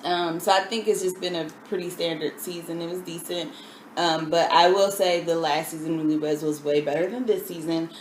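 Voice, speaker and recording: A woman with a lowish voice chats casually about television, filling pauses with ums and stretching her phrases. Her words bounce around an echoey room, with a faint hiss of noise underneath. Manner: relaxed, many ums, drawn-out phrasing. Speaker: co-host. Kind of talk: casual TV recap chat.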